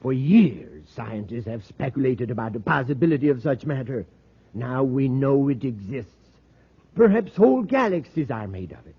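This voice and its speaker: An actor playing an earnest, excitable middle-aged professor in a 1950s radio drama, explaining things in a scholarly, slightly hesitant way. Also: male voice, medium pitch, moderate pace, slightly rough. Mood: intense, explanatory. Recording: muffled tinny vintage radio recording.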